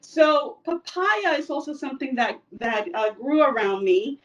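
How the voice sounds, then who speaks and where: High-voiced woman from El Salvador, distant mic. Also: lively intonation, measured pace, lo-fi sound. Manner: chatty, frequent fillers. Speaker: cooking instructor. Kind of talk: casual cooking demonstration. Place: home kitchen.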